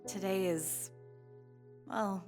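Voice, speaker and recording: An actress playing a gentle, caring wife who speaks with soft concern in a comic dub. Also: female voice, medium pitch, fairly even intonation, drawn-out words. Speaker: voice actor. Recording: music behind the voice.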